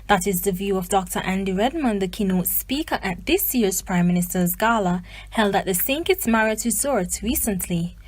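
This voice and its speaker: A woman's voice: medium pitch, lively intonation, normal speed, hardly any pauses. A woman reading a scripted news report as a reporter.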